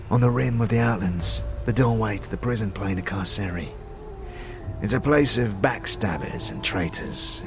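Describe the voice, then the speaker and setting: Man playing a low-voiced, bitter undead skull. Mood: scornful, knowing. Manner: streetwise slang, scornful, guarded. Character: bitter, conspiratorial dead anarchist, now a talking skull. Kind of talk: fantasy game audio drama acting.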